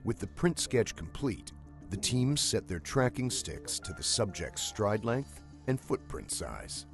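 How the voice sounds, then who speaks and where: A man with a very low voice delivers scripted documentary narration. His reading is measured and story-like, with lively movement in pitch. Clear music plays behind him.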